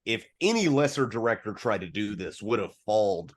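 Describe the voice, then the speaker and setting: A man with a lowish voice talks as a co-host in a relaxed discussion among friends. He is reflective and unpolished, working through ideas out loud and swearing casually. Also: a little fast. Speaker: podcast co-host.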